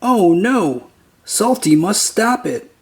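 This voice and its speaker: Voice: mid-range voice, big pitch swings, slow. A narrator reading a children's picture book aloud in short, simple storytelling phrases.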